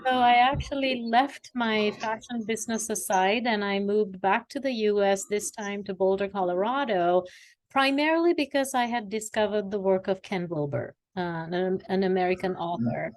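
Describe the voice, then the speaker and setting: A woman's voice: medium pitch, moderate pace. A woman in her forties, originally from Sri Lanka, telling her story as an interview guest with a reflective manner. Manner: reflective, warm storytelling with fillers. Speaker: guest, executive coach. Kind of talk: conversational interview.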